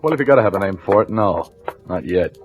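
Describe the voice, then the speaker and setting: A man's voice: low-pitched, lively intonation, quick pace, over music. A man playing a sarcastic, bossy police lieutenant in a 1940s radio crime drama, clipped and commanding. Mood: bossy, impatient. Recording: muffled vintage radio recording, narrow phone-like band.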